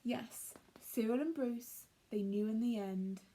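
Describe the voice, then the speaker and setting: High, slow, breathy woman storyteller. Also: mellow, rough recording. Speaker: storyteller reading a picture book to young children. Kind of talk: expressive rhyming story narration.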